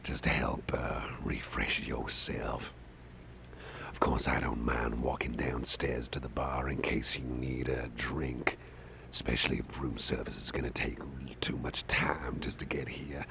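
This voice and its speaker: A man playing a big, gruff but caring boss in an ASMR roleplay, murmuring gently with a gravelly, often breathy voice and soft hesitations. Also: very deep voice, little pitch movement, measured pace, a little hiss or hum, full character voice. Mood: caring, attentive. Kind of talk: ASMR personal-attention roleplay.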